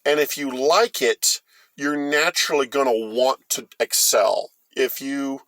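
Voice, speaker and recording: A middle-aged man with an American accent, telling a story casually in a halting, rambling, folksy way. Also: mid-range voice, fairly even intonation, a bit bright, no echo. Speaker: host of a storytime vlog.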